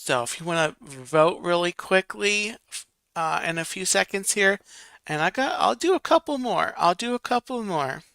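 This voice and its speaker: A man's voice: high voice, lively intonation, normal speed, gravelly, sharp tone, no room sound. A man hosting a casual live stream, reading viewer comments and counting votes aloud while muttering to himself.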